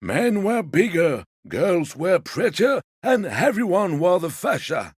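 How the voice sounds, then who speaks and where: An actor voicing a gentle, sociable elderly man in a video game, courteous and wistful, teasing softly and taking his time. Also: high-pitched, sing-song, slow pace, breathy edge, dry recording, studio quality, full character voice. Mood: teasing, exasperated. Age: 70s or older. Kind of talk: video game character dialogue.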